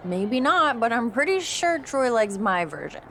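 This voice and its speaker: A woman's voice: fairly high pitch, very animated, a little slow, fairly bright tone, a little hiss or hum, heavily acted. A woman playing a vain, self-absorbed young pro wrestler in a video game, breezy and gushing with a sugary passive-aggressive edge. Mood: breezy, smug. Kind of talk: video game cutscene acting.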